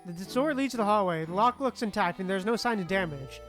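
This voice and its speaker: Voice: mid-range voice, lively intonation, fast talker, over music. A game streamer talking in slangy, casual reactions, muttering and reading on-screen text aloud.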